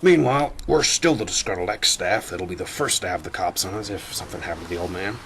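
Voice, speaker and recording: Guy as grumpy sardonic ex-employee, really deep voice, noisy rumbly background. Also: fast talker. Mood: dry, resentful. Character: disgruntled, sardonic male ex-staffer. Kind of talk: audio drama acting.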